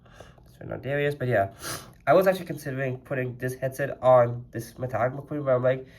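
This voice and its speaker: A man's voice: mid-range voice, brisk, a little mellow. A man reviewing a custom toy car in a casual vlog, rambling and chatty with a slightly congested voice. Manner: rambling and chatty, lots of "like" fillers.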